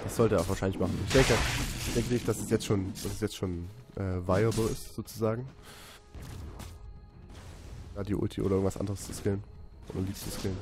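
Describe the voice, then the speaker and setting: A man's voice: on the low side, fairly even intonation, fast talker. German guy casting a game live, chatty, gets hyped on big plays, music going underneath. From Saxony, Germany. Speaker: esports commentator.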